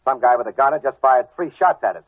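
Husky wisecracking private eye, old radio. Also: male voice, mid-range voice, lively intonation, quick pace, no echo. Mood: defensive. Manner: sardonic, slangy. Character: wisecracking tough male private detective, gruff but decent. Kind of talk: 1940s radio detective acting with narration. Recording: muffled old radio drama recording.

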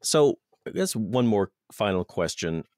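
A man's voice: fairly low pitch, big pitch swings, unhurried, darkish tone, no echo, studio quality. A man hosting an interview podcast in a friendly, wry way, laughing easily as he talks. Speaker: podcast host.

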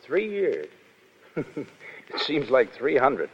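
An actor playing a brash, world-weary Foreign Legion private in a 1930s radio drama, casual, laconic and stubborn. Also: male voice, mid-range voice, huge pitch swings, takes it slowly, slightly rough, full character voice. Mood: weary, wry. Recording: dull, noisy 1930s radio broadcast.

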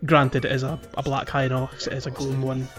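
A man's voice: medium pitch, completely flat delivery, moderate pace, music in the background. A man giving casual, chatty gaming commentary as a podcast host, telling things informally.

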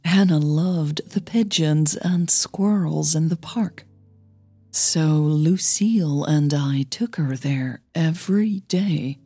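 A woman playing a cool, guarded older female coach, measured and reluctant, in an audio drama. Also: deep voice, warm tone, breathy edge, no room sound, soft background music, full character voice. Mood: confessing. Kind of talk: audio fiction dialogue.

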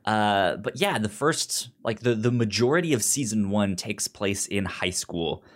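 A man chats casually as a podcast co-host, taking his time over each thought. His delivery is slow and halting, with frequent stammered restarts on words and sounds. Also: on the low side, animated. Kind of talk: casual chat reviewing a drama series.

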